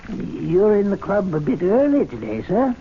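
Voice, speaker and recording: An actor playing an old club steward in a radio drama, polite and dry on the surface but slyly insolent. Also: male voice, sits high, wildly swooping pitch, normal speed, full character voice. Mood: slyly deferential. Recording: dull lo-fi radio recording with hiss.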